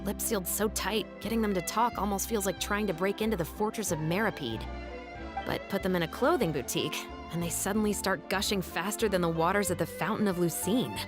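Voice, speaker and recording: A dutiful, wary patrol captain from a game, played by a woman, with a mid-range voice. She speaks in a dry, measured, skeptical way. Game music runs behind her. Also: medium pitch, close to an everyday voice. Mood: explaining. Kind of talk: game cutscene voice acting.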